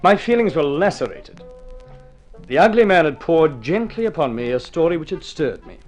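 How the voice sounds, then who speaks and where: An actor playing a polite, worldly, wryly long-suffering gentleman in a vintage radio drama. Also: on the high side, very animated, normal speed. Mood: wry resignation. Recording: vintage radio recording with music.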